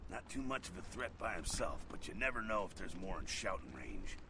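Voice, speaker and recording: A gruff, streetwise vampire from a video game with a low voice. He gives terse, commanding instructions in street slang. Clear background noise sits behind him. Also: very animated, brisk. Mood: brisk, instructive. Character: gruff streetwise adult male vampire mentor giving orders.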